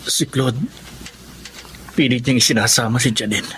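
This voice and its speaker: A man's voice: mid-range voice, steady tone, moderate pace, a bit bright, a little hiss or hum, natural voice. An actor playing a humble, grateful reformed man in a Filipino radio drama, earnest and sincere, with a Filipino accent. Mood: subdued.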